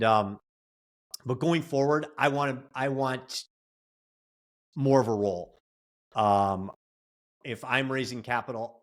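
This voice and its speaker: A man's voice: mid-range voice, a bit bright. A man in a casual podcast interview, a real estate investor and coach giving earnest advice in long run-on stretches.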